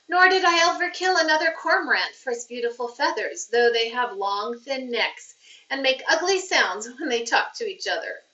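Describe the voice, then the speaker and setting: A woman reading a children's novel aloud as a teacher would, with expressive, deliberate storytelling phrasing. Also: a little high. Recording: roomy, muffled, distant microphone.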